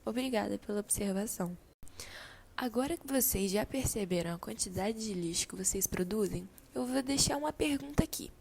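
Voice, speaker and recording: Brazilian female teacher played by an amateur actress for radio play: kindly, instructive, gravelly edge, slight noise behind. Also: mid-range voice, animated, fairly quick, darkish tone. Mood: encouraging. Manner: didactic, encouraging. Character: female schoolteacher, kindly, guiding a classroom discussion. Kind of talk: scripted amateur radio drama.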